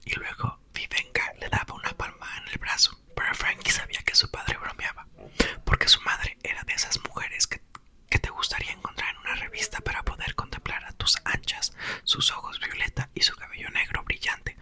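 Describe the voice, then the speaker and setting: A man narrates a storybook entirely in a whisper, in the style of an ASMR reading. The sound is breathy and bright, with sharp detail in each word. His delivery stays soft, intimate and expressive. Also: very high voice, brisk. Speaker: ASMR creator reading a book aloud.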